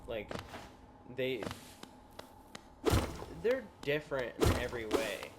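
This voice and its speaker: A young man with a shrill voice, chatting loosely as he plays a video game, rambling with lots of fillers and restarts into a USB microphone. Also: very animated, slow, very noisy. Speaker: gameplay commentator. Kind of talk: casual let's-play chat. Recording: loud game music behind.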